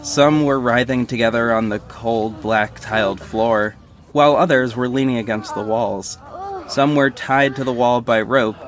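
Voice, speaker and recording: A man's voice: mid-range voice, measured pace, lightly acted. A man playing a wry young superhero who narrates his own mission in scripted first person. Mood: measured, descriptive. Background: music bed.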